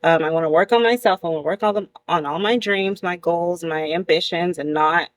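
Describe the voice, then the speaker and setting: A woman's voice: on the low side, a little fast. A woman hosting a talk show, speaking reflectively about her own choices in a casual chat.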